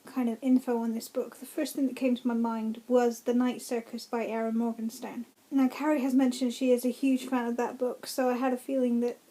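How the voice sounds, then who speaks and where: A woman's voice: fairly high pitch, flat delivery, a little fast, hardly any pauses, dark tone, rough recording. A woman giving casual, gushing reactions as she reads through a book, with an enthusiastic way of talking.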